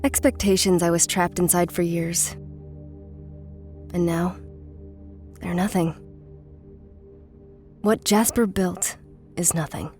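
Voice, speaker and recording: A woman playing a guarded, intense runaway from a cult family in a horror audio drama, speaking with deliberate care. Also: fairly low pitch, fairly even intonation, darkish tone. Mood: haunted, reminiscing. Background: music.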